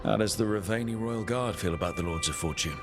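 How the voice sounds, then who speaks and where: A man voicing a calm, curious adventurer. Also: fairly low pitch, music in the background, lightly acted. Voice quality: calm, inquisitive, measured. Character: curious adventurer leader, measured and probing. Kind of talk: video game cutscene dialogue.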